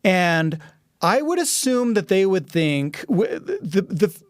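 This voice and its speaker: A middle-aged man as a podcast guest, talking casually and thoughtfully about film in a halting, reflective way. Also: high voice, big pitch swings, no echo, studio quality. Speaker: podcast guest, audio drama producer.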